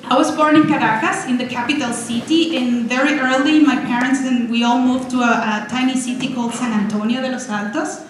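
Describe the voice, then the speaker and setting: A woman in her forties from Venezuela, giving an energetic, chatty talk with slides as a guest speaker at a college. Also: high-pitched, fairly quick, hardly any pauses. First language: Spanish. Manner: excited, chatty, many restarts. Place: college lecture room. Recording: echoey hall, faint noise.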